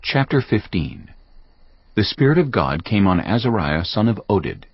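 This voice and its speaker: Male narrator, very low voice, animated intonation, measured scripture storytelling, music behind. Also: slightly rough, no room sound. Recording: dull lo-fi sound, music behind.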